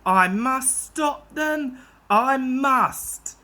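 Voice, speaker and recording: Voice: high-pitched, lively intonation, very slow, bright, forceful tone, pitched above the performer's own voice, full character voice. A woman voicing a polite, worried little tank engine in a storybook reading.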